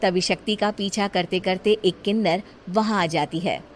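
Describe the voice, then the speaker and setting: A woman's voice: medium pitch, steady tone, moderate pace. A woman reading scripted story narration as the narrator.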